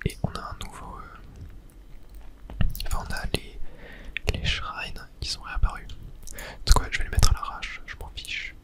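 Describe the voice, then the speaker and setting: An ASMR gamer commenting on their own play in a breathy, unvoiced whisper, casually muttering to themselves with slangy asides. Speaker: video game streamer narrating own play.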